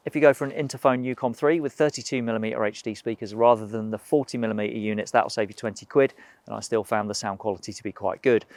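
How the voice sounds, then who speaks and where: A man reads through a scripted gear review at a quick clip, practical and matter-of-fact. His voice sits at a middling pitch. The recording is dry and close, with no room sound. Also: darkish tone, no echo. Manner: brisk, informative, practical. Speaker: product reviewer for a motorcycle gear shop.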